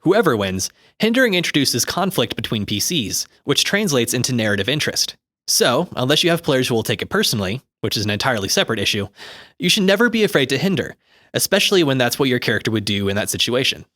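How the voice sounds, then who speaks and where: Fast-talking man reading explanatory narration. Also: medium pitch, big pitch swings, fairly bright tone, no echo, studio quality. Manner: articulate, instructive. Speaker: co-host reading game rulebook commentary. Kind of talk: scripted explanatory narration, audiobook-like.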